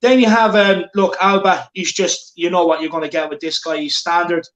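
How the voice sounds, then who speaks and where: A man's voice: high-pitched, steady tone, brisk, fairly bright tone, echoey room, lo-fi sound. A man hosting a football watchalong, chatting casually and reading out viewer comments. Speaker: watchalong host.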